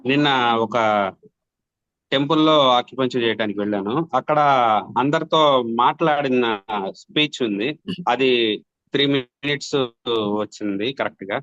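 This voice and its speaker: A man's voice: medium pitch, normal speed, pauses often, a bit bright, dry recording. A man, a training participant asking a casual question in an online class. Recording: dull, lo-fi online call audio.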